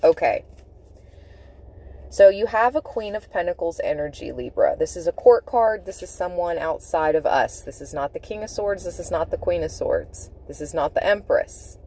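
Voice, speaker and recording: A woman giving an intuitive tarot reading, speaking in deliberate, halting phrases with emphatic repetition. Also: on the low side, expressive, mellow. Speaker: tarot reader. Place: inside a parked car.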